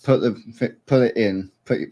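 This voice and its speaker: A man co-hosting a casual chat, relaxed and rambling as he explains things step by step. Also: medium pitch, moderate pace. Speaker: co-host.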